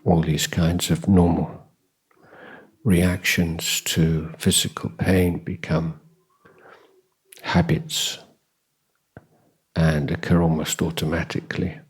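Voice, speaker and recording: A man with a deep, very husky voice guides a meditation. He speaks slowly in an even, level tone. His phrases are short and measured, with long calm pauses between them. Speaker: Buddhist monk, meditation teacher. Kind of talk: guided meditation dharma talk.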